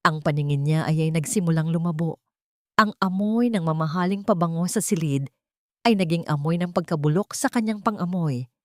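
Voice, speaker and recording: A woman narrates a scripted drama audiobook. Her voice sits a little low for a woman. The delivery is story-like and dramatic, and the recording is very dry. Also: normal speed, no room sound.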